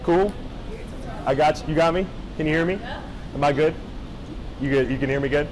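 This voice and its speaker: A young man from Japan giving a casual motivational talk to high school students, often checking in with the crowd. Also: a little fast, a little mellow, rough recording. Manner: casual, engaging, checks in with the audience. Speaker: motivational speaker. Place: high school gathering space.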